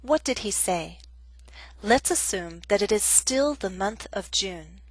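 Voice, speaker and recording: A woman teaching an English grammar lesson in a clear, measured, scripted way. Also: medium pitch, expressive, dry recording, rough recording.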